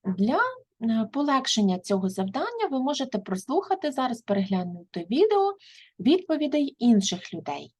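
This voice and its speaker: Husky woman teaching English. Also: on the high side, big pitch swings, moderate pace, darkish tone. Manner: reads each English word, then its translation. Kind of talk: bilingual lesson explanation.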